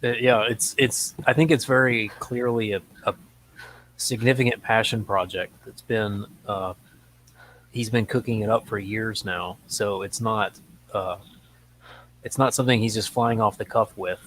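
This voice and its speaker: Low-voiced man chatting over background noise. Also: fairly even intonation, unhurried, a bit bright. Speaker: talk show co-host. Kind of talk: casual unscripted chat.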